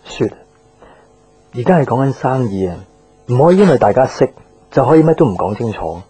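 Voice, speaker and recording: An actor playing a proud, guarded young boyfriend in a Cantonese radio drama, speaking with a Hong Kong accent in a terse, restrained way. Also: male voice, mid-range voice, big pitch swings, a little fast, mellow, breathy edge. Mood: firm, defensive. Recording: background music under the dialogue.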